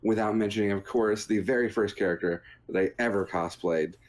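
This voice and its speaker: A man's voice: lowish voice, brisk. A man co-hosting with casual comedic banter, sarcastic and playfully mock-serious. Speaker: co-host.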